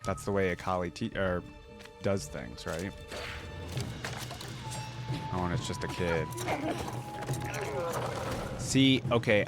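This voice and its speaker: A man hosting a reaction channel with casual, off-the-cuff commentary, informal and easily impressed. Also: on the low side.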